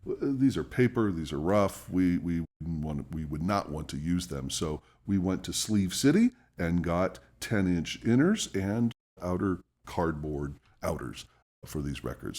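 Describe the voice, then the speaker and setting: A man with a very low-pitched voice hosts an instructional demonstration. He talks conversationally and explains each option step by step. He speaks straight to the viewer in a methodical way. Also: lively intonation, measured pace, a little mellow. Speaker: presenter of a record-cleaning tutorial channel.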